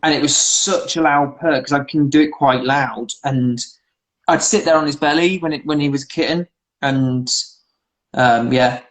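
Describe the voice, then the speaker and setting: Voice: mid-range voice, normal speed, low-quality recording. Rambling English guest on a livestream. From England. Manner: rambling, anecdotal, lots of fillers and restarts. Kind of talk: casual chat.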